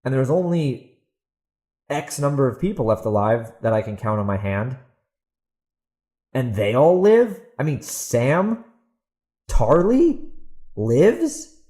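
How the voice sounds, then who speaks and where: Male podcast host, mid-pitched, opinionated and blunt, casual ranting delivery with incredulous emphasis. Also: big pitch swings, slow pace, long pauses, dark tone. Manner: incredulous, emphatic, rhetorical questions. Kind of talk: casual opinionated rant reviewing a TV show.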